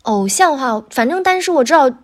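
A woman with a voice pitched a little high, speaking casually as a guest voice actor on a podcast. She is tentative, qualifies what she says and circles back over her phrases. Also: lively intonation, a little fast, a crowd in the background.

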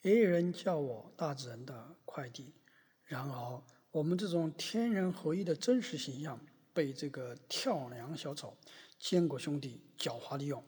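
Man acting a husky, pompous company boss. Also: a little high, expressive, moderate pace, a little mellow. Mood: smug, lofty. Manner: pompous, self-praising, grandiose. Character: smug, self-righteous male bottled-water company boss, plaintiff. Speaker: voice actor in a podcast courtroom drama. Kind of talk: scripted satirical courtroom drama monologue. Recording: echoey hall reverb.

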